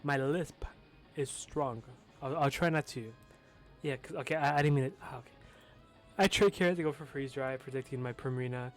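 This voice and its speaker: Casting note: young guy with a noticeable lisp, relaxed and offhand, faint music behind. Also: mid-range voice, moderate pace. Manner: casual, with fillers and swearing. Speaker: competitive game commentator. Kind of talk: casual match commentary.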